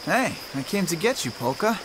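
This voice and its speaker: A man's voice: high voice, sing-song, normal speed, noisy background. An actor playing a friendly, caring, slightly awkward teenage boy hero in a game cutscene, casual and earnest. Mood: upbeat. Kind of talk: video game cutscene acting.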